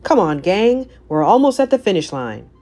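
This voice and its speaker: A woman's voice: medium pitch, very animated, moderate pace, warm tone. A woman voicing a confident, upbeat young man who leads a cartoon gang, decisive and rallying, in a storybook.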